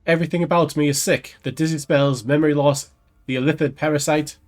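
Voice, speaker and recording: A man voicing a troubled adventurer in a video game, speaking formally in a measured, earnest way. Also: fairly high pitch, fairly even intonation, faint music behind, lightly acted. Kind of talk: dramatic read of game dialogue.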